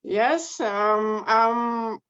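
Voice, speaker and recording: Slow-talking woman. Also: on the high side, fairly bright tone, clear, resonant tone. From Bulgaria. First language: Bulgarian. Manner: measured, frequent ums and pauses. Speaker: guest speaker. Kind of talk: online interview, career story. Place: home or office in Belgium. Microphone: video call. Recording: dull lo-fi call audio.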